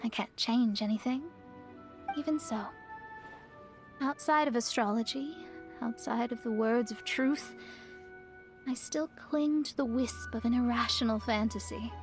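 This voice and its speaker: Game role: young female astrologist, high voice, earnest and wistful, reflective pacing, faint music underneath. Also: a little slow, long pauses. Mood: wistful, resigned. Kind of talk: scripted game voice acting.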